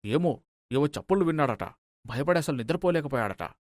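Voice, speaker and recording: A man's voice: fairly high pitch, animated, a little fast, no echo, studio quality, natural voice. A man voicing a secretive, concerned husband in a cartoon family story, questioning with urgency. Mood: wary, urgent. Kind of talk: cartoon moral story dialogue.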